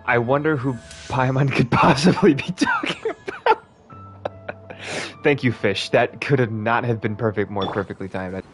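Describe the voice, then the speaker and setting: A woman's voice: extremely low pitch, huge pitch swings, normal speed, faint music behind. A woman giving casual, sarcastic and crude commentary over a video game playthrough. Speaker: gaming commentator.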